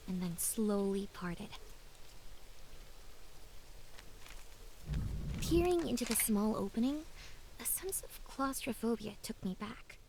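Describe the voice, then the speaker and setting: A woman playing a reflective young woman who narrates her journey in a measured, wistful storybook style. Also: fairly high pitch, darkish tone, a little hiss or hum, rough recording. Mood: hushed wonder. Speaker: narrator and protagonist. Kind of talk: scripted first-person storybook narration.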